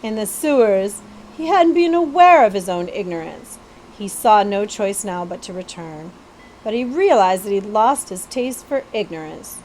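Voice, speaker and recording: A woman librarian reading a children's story aloud in expressive narration. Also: mid-range voice, normal speed, poor audio. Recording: faint background ambient noise.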